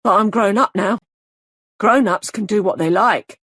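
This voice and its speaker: A woman's voice: mid-range voice, a little slow, fairly bright tone, breathy edge, dry recording, clean studio sound. A woman voicing a deranged young male killer whose voice slips into a child's, menacing and childish. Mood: childishly vengeful.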